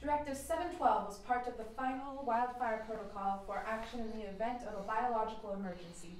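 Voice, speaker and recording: A woman's voice: fairly high pitch, fairly quick. A woman reading scripted narration as the announcer of a radio drama. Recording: very echoey, distant, loud music over it.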